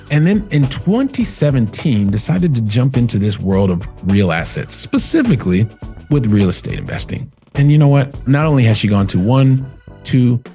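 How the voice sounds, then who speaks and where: A man's voice: mid-range voice, dark tone. A man hosting an interview podcast, upbeat, often repeating words and restarting sentences. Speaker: podcast host.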